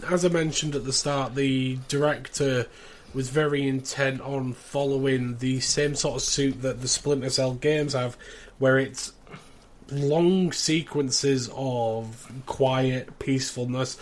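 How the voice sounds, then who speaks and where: A man in a casual podcast chat, explaining his view in a measured, considered way. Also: medium pitch, fairly even intonation. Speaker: podcast host.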